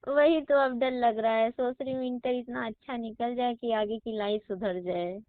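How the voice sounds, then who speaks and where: A woman's voice: on the high side, expressive, unhurried. A woman playing a pleading, coaxing young sister-in-law, a student, in a phone conversation with a wheedling, complaining manner. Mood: sheepish. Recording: dry tinny phone-call audio.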